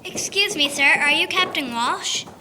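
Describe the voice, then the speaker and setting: Woman playing a high-voiced, eager young messenger boy. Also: unhurried, heavy background noise. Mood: polite, dutiful. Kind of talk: radio drama acting.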